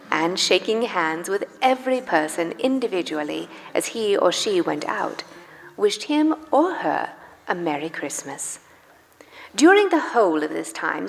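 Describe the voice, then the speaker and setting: A woman narrates a live radio drama in expressive, literary style. The voice is medium-pitched with noticeable fry. It carries long church-hall reverb, with music faintly behind. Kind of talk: scripted dramatic storytelling for live radio play.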